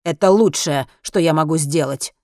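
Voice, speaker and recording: A woman voicing an irritable, grudging man with a gruff, snappish manner in an audiobook. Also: deep voice, moderate pace, mellow, no echo, clean studio sound, natural voice. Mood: grudging, annoyed. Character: irritable, foul-mouthed man, exasperated and grudging. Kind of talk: acted audiobook dialogue.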